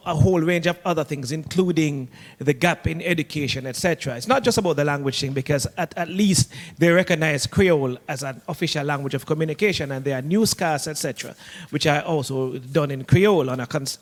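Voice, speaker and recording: A man speaking as a panelist in an online academic discussion over a video call, measured and analytical. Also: on the high side, in a large hall.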